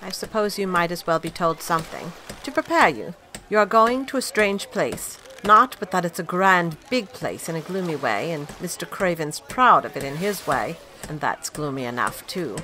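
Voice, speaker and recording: A woman playing a blunt, sharp-tongued old-fashioned housekeeper. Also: lowish voice, animated, moderate pace. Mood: gloomy. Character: stern middle-aged female housekeeper, blunt and gossipy. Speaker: actor. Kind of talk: amateur audio drama acting.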